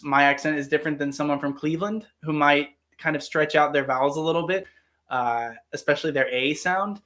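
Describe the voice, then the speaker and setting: A man's voice: a little high, bright, forceful tone. A man from Ohio with a standard American accent, chatting casually and enthusiastically on a live Q&A, with many fillers and restarts. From Ohio, United States. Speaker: actor hosting a live Q&A. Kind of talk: casual livestream chat.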